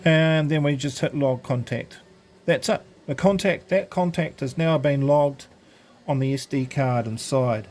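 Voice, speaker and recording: A man's voice: on the high side, measured pace, a little mellow. A man giving an informal, unscripted product tutorial, explaining step by step in a casual, hesitant way. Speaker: presenter of an amateur radio gadget demo.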